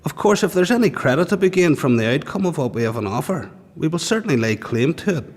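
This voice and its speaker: A man's voice: on the high side, animated, quick pace, in a large hall. A man playing a stern, pompous prison official in a radio play, officious and emphatic as if making an announcement.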